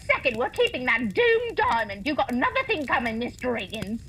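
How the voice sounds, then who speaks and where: A woman playing an excitable, pious, scolding working-class wife in a holiday radio play, with melodramatic delivery and folksy phrasing. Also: a little high, brisk, a little hiss or hum, full character voice.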